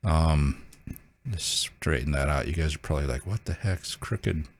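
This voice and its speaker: A man hosting a casual hands-on tutorial, talking informally and haltingly as he thinks aloud. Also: rumbling low. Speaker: video host demonstrating a radio.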